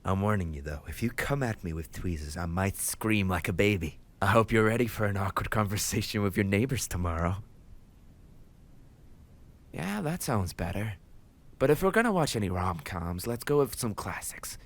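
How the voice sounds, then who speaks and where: A man playing a tough but soft-hearted gang leader boyfriend in an intimate romantic audio roleplay, affectionate and playfully teasing, with mock complaints. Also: fairly low pitch, expressive, normal speed. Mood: mock grumpy, playful, then casual, amused.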